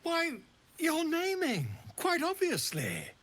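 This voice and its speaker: Flamboyant, wildly animated pompous bard. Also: male voice, very high-pitched, slow, full character voice. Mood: jovial, grandiose. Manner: florid, verbose, theatrical, exclamatory. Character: flamboyant, pompous bard and self-styled scholar, grandly theatrical. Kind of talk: theatrical fantasy game acting.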